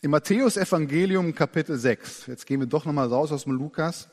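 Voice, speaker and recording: A man preaching a sermon, earnest and expressive, slowing down and dropping to a soft voice to stress his points. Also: on the high side. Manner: earnest, expressive, slows down and drops soft for emphasis. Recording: long church reverb.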